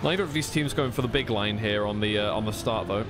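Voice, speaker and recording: A man's voice: lowish voice, fast talker. Chatty male esports caster over engine noise. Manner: chatty, informal, thinking aloud. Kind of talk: live racing game commentary with casual chat. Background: racing game car sounds.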